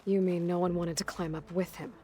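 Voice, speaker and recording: An actress playing a determined, self-reliant young warrior heroine in a video game, direct and questioning. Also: female voice, fairly low pitch, level tone, a little fast, warm tone, a little hiss or hum, close to an everyday voice. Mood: resolute. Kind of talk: video game character acting.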